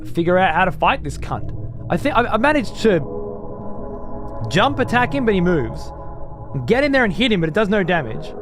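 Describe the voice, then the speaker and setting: A hoarse man casually commenting on a game he is streaming, rambling and swearing freely. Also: mid-range voice.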